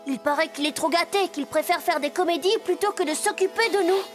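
A cheerful little kid in a children's cartoon, chatting in simple everyday words. The voice is extremely high, with music underneath. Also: female voice, brisk. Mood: lively.

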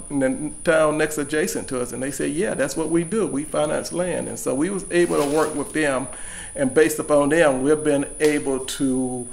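A man answering interview questions about his farm, speaking in a measured, explanatory way with frequent restarts. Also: a little high. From Louisiana. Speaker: farmer.

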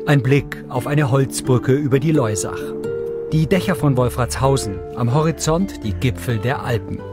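A man's voice: mid-range voice, normal speed, loud music behind the voice. A man reading scripted audio description, narrating scenes plainly.

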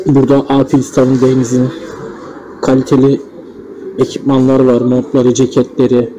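A man's voice: medium pitch, monotone, normal speed. A man giving casual motorcycle vlog commentary as the host, recorded on an action camera. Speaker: motovlogger, host. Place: outdoors among parked motorcycles and on the road. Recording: noisy action camera recording, street and traffic.

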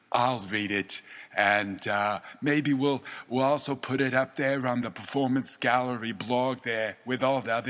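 A man's voice: medium pitch, a bit bright. An American man hosting a casual talk show, chatting in a folksy, repetitive, easygoing way.

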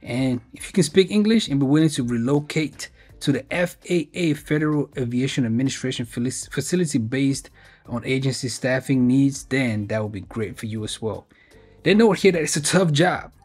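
A man's voice: mid-range voice, normal speed, a little mellow, breathy edge, soft background music. A man hosting an informal explainer video, reading through a web page in a conversational way and stressing key points. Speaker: video host sharing job openings.

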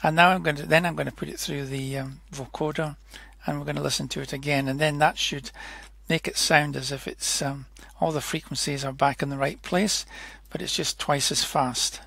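A man narrating an informal technical tutorial in a hesitant, halting way, often correcting himself as he explains. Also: fairly high pitch, steady tone, vocal fry, pushed and bright, breathy edge, no echo. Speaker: software demonstrator.

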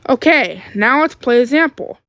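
A woman giving a step-by-step app tutorial in a halting way, searching for words. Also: high-pitched, animated. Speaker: tutorial creator.

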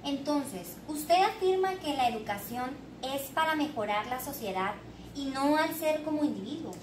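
High-voiced young woman hosting an interview. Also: animated, normal speed. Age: young adult. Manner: formal, scripted questioning. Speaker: interviewer, show host. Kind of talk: scripted mock interview. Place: small bare indoor room with tiled floor. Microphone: far camera. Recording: echoey room, distant mic, background hiss.

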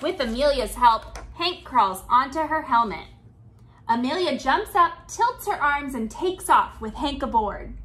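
A woman in her thirties reads a picture book to small children. Her voice sits high and swings widely in pitch. She takes it slowly, phrasing each line deliberately and expressively for a young audience. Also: animated, fairly bright tone. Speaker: children's storytime reader. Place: small indoor room with bookshelves.

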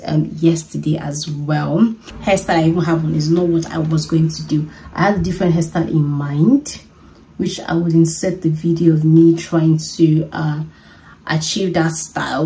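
Woman, 30s, chatty vlogger; low-ish mellow voice, a little off-mic with faint room noise. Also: distant mic, low-quality recording. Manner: playful, chatty, laughs often. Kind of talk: casual get-ready-with-me vlog. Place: small bedroom at home.

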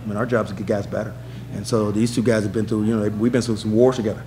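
A middle-aged man fielding questions as a head coach, rattling through answers at a quick clip. He repeats himself and restarts often, pressing his points with emphasis. A faint hum sits under his table mic. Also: lowish voice, little pitch movement, warm tone. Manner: rapid, repetitive restarts, emphatic. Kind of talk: post-game press conference answers. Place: press room with backdrop.

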